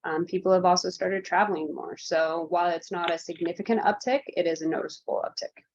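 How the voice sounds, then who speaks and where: A woman's voice: lowish voice, steady tone, fairly quick, darkish tone. A woman giving an informal update on a conference call. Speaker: public health lab presenter. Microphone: conference call connection. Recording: slightly dull conference call audio.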